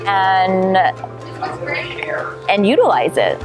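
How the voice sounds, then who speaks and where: A woman's voice: mid-range voice, sing-song, drawn-out words. A woman with a New Jersey accent, a home staging expert speaking confidently and upbeat as a guest in a casual interview, handing out practical tips. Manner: confident, advice-giving, upbeat. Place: indoors on a couch. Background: background music.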